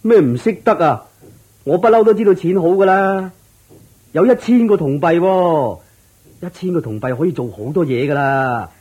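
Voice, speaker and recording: An eager, husky young musician. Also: male voice, a little high, expressive, normal speed, darkish tone, dull audio. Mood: excited. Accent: Hong Kong Cantonese. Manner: eager, astonished exclamations. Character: excitable, eager poor young male musician. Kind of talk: Cantonese radio drama acting.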